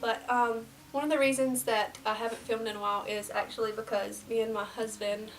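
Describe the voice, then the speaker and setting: A young woman in her twenties, slightly nasal from a cold, chatting casually through a get-ready-with-me beauty vlog and dropping to soft half-whispers as she works. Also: fairly high pitch, level tone, fairly quick, distant mic, low-quality recording. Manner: casual and rambling, drops to near-whispers while applying makeup. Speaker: beauty vlogger. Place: small indoor room before a plain backdrop.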